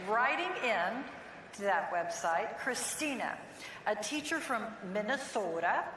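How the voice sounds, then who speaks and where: A woman moderating a forum, reading questions to a candidate in a lively, joking way. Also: fairly high pitch, measured pace, background noise. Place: large event hall. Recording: distant mic in a loud crowded hall.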